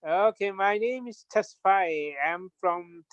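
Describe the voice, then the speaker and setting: A man's voice: high-pitched, slow. A man politely introducing himself on a video call, a little tentative. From Ethiopia. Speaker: meeting participant. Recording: dull video call audio.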